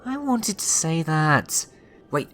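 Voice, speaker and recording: An actor playing a sly time-travelling schemer in a sci-fi fan audio drama, teasing and mock-polite. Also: male voice, a little high, sing-song, takes it slowly, heavy background noise. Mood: petty, commanding. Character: sly, smug, meddling male alien time-traveller schemer. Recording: loud music behind the voice. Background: dramatic music.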